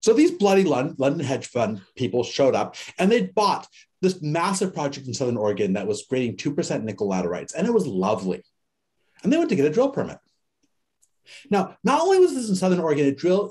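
A man lecturing to an online class, racing through his points with big swings in pitch. His voice is warm and dark, and he slips into the voices of the people in his stories. The sound is that of a webcam on a video call. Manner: animated storyteller, acts out quoted people. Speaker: course instructor. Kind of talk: lively conversational lecture.

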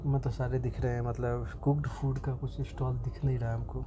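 Young man, travel vlogger, casual rambling commentary, mid-pitched voice, phone recording in a train, noticeable background noise. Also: flat delivery, fairly quick. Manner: chatty, thinking aloud with frequent fillers and restarts. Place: inside a train compartment at a station, by the window. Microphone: phone camera held near the window. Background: station and train ambience.

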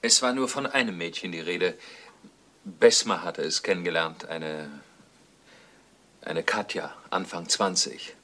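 An actor playing a matter-of-fact, probing police investigator in a German crime radio play. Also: male voice, low-pitched, measured pace, pauses often, sharp tone.

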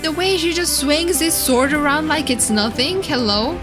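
A young woman streamer giving casual, excitable, gushing live reaction commentary. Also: high voice, moderate pace. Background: game trailer music.

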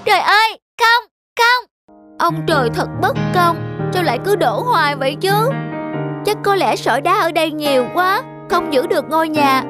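A woman voicing a cute, excitable young rabbit in a children's cartoon, exclaiming theatrically. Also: very high-pitched, normal speed, music in the background. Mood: dismayed.

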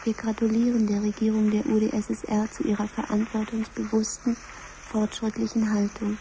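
A woman playing a calm control computer in a radio play, making formal, monotone announcements. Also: medium pitch, fairly quick, natural voice. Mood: impassive, formal. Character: calm female control computer of nuclear command centre. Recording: faintly noisy 1970s radio recording.

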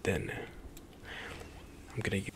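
A young man whispering relaxed commentary as he plays through a game for an ASMR stream, often trailing off mid-thought. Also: slow pace, a little hiss or hum.

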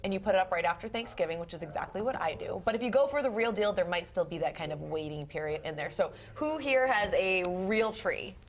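A woman's voice: fairly low pitch, expressive, fairly quick, hardly any pauses. An American woman chatting casually as a morning TV show host at a studio panel desk. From American Midwest.

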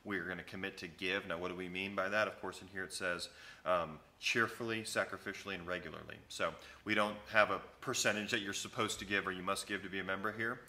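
Pastor guy in his 30s reading a covenant out loud then explaining it, deep voice, kinda bright and forceful. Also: fairly even intonation, fast talker. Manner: conversational, explains each passage after reading it, many fillers. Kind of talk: teaching new members, reading a church covenant aloud. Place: empty church sanctuary with wooden pews, indoors. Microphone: camera at close range.

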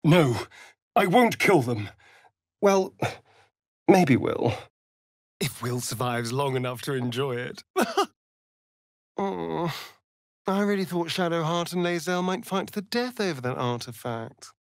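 An actor with a British accent playing a sardonic, preening vampire rogue in a fantasy video game, with an arch, drawling, theatrical delivery. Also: male voice, on the high side, expressive, slow, pauses often, no room sound, studio quality. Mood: amused.

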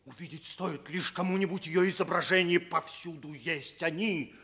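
An actor playing an anxious, devoted servant of a prince in a theatrical radio fairy tale, deferential and dramatic. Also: male voice, a little high, normal speed, pushed and bright, breathy edge, heavily acted. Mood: horrified, urgent.

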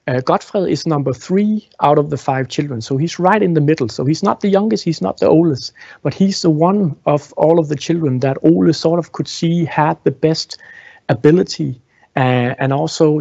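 A man in his thirties talks as a tour guide, informally and haltingly, often doubling back on his words. His mid-pitched voice has a gravelly creak. The sound is close and dry but a little dull. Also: a little mellow, dry recording. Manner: halting, frequently repeats words while explaining. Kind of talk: informal guided tour talk, answering questions. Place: indoor museum exhibition room. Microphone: small clip-on lapel mic.

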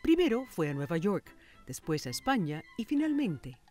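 A woman's voice: fairly low pitch, big pitch swings, moderate pace, a little mellow. A middle-aged woman presenting a television news magazine with scripted broadcast narration. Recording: music bed under studio voice. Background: background music.

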